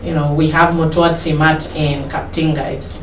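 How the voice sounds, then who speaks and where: A Kenyan woman with a low voice and a Kenyan English accent recounts her life in a relaxed interview, often restarting sentences. She sounds distant from the mic in an echoey room, with faint noise behind her. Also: normal speed. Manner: rambling, self-correcting, laughs while telling. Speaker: interview guest. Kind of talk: casual life-story interview.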